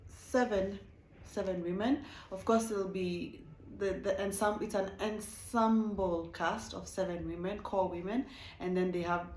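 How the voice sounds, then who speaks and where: Kenyan woman, young adult, lowish voice, chatty reviewer, roomy echo. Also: a little hiss or hum. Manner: chatty and animated, with frequent self-corrections. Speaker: reality TV reviewer. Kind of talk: casual video review.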